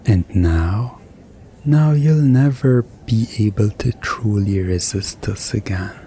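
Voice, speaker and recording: Man playing very slow, low, mischievous male hypnotist. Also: dark tone, slightly rough, heavily acted. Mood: soothing, commanding. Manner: slow, soothing, teasing, deliberate pauses. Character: mischievous male stage hypnotist, smugly commanding and seductive. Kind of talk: hypnosis ASMR roleplay.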